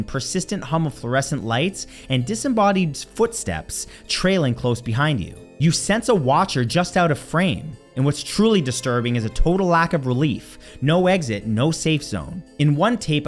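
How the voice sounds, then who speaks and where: Male presenter, fairly high voice, very animated, suspenseful scripted list narration with emphatic phrasing, music underneath. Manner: suspenseful, emphatic delivery, slowing on key words. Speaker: presenter of a countdown list show.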